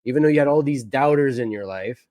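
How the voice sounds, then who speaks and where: A man hosting a podcast interview, calmly summing up his guest's story before asking a question. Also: mid-range voice, quick pace, no echo. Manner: summarizes the guest's story back, relaxed. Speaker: podcast host. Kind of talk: conversational interviewing.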